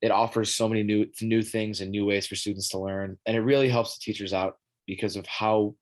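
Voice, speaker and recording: A young man with a somewhat low voice, scratchy from a cold, talking through a casual classroom tech presentation. He stumbles and restarts often, clearing his throat between thoughts. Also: flat delivery, fairly quick. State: scratchy voice with coughing. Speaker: student teacher.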